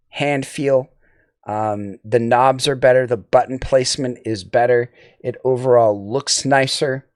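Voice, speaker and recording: A man's voice: mid-range voice, no echo. Man reviewing gear, dry close sound. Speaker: audio gear reviewer and channel host. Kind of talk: semi-scripted product review narration.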